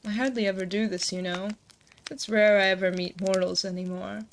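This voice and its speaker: A woman playing an ancient succubus demon queen, motherly and gently teasing, in a hushed, soothing, intimate ASMR roleplay, close to a binaural mic. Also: mid-range voice, steady tone, fairly quick, lightly acted. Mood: warm, teasing. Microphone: close binaural 3Dio microphone. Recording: close binaural mic, noticeable background noise.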